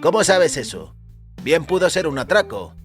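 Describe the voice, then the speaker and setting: A man's voice: on the high side, very animated, normal speed, faint music behind, pitched above the performer's own voice. A man voicing a skeptical, complaining male bar customer in an audio story. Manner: dismissive, complaining.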